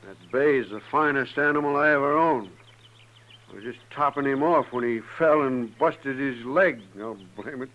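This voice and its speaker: A man's voice: medium pitch, normal speed, close to an everyday voice. Man as a blunt, bitter frontier rancher. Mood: bitter, upset. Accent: American. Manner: rustic, blunt. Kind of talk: 1950s radio western acting. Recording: dull vintage radio recording.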